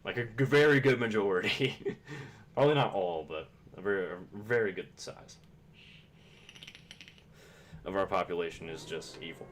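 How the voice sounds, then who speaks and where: A man's voice: sits low, moderate pace, long pauses, slight background noise. A young man from Kentucky, chatting casually and rambling with plenty of swearing while streaming a game. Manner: rambling, profane, laid-back.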